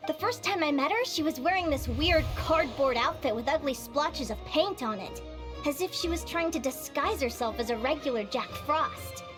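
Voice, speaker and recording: A woman's voice: high voice, expressive, moderate pace. A woman voicing an eager, curious young woman guild advisor in a video game, friendly and enthusiastic in manner. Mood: earnest storytelling. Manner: bubbly, enthusiastic. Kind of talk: video game character dialogue. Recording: music behind the voice.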